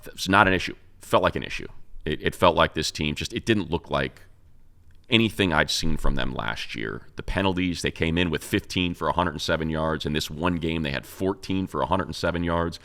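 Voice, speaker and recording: Sports co-host, American male, very deep yet bright voice, casual discursive analysis. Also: a little fast. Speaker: sports show co-host and radio play-by-play announcer.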